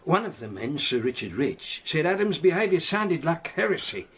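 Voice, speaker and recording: Husky male zealot, highish voice, very animated intonation, stern righteous preacher, period radio drama. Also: moderate pace, full character voice. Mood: grave, indignant. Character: stern, zealous grey-haired Puritan preacher, fervent and reproving.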